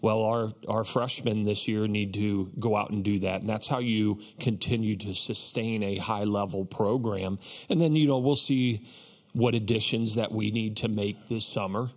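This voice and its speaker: Low, flat-voiced coach at a press conference. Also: male voice. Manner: measured, reflective, frequent restarts and "you know". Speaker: head baseball coach. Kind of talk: postgame press conference answers. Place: press conference room, indoors. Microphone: table microphone.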